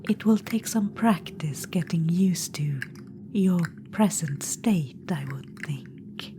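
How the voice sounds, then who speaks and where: A woman voicing a haughty ancient witch-queen trapped in an orb, speaking in a soft, regal, condescending whisper for an ASMR roleplay. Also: fairly low pitch, expressive, slow, background noise.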